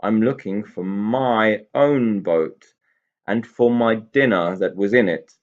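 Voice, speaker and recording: A man voicing a cross, bullying goblin from a children's storybook, loud, indignant and commanding. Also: fairly low pitch, takes it slowly, highs cut off. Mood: gruff, demanding. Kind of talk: children's storybook character reading.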